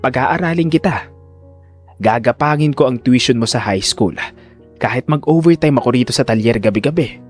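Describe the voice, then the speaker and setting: A man's voice: mid-range voice, moderate pace, faint music behind, natural voice. A man voicing a caring fifty-year-old uncle in an audio drama, earnest, firm and fatherly. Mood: firm, comforting.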